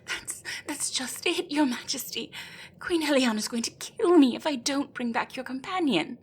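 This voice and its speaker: A woman's voice: high voice, lively intonation, moderate pace, slightly rough. A woman playing an anxious, desperate female gryphon in an audio roleplay, speaking in a hesitant, deferential, pleading way.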